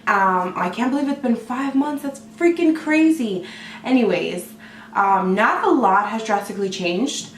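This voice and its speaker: A woman vlogger, hoarse from a cold, chatting excitedly to camera with lots of fillers. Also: fairly high pitch, measured pace, reverberant, recorded from a distance, slight background noise. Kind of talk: casual talk to camera.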